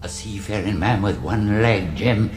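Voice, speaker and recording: A man's voice: lowish voice, a little slow, close to an everyday voice. An actor in a radio drama playing an ominous, grave seafaring man.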